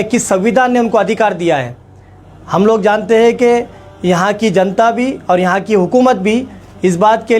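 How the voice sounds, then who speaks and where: High-pitched man making a political statement. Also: darkish tone. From India. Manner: emphatic, repeats phrases for effect. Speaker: organisation leader giving a public statement. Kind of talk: formal political address to camera.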